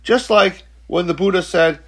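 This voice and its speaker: A man in his forties giving a slow, reflective spiritual teaching talk, halting and repeating words, often checking in with "okay?". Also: sits high. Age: 40s. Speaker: spiritual speaker.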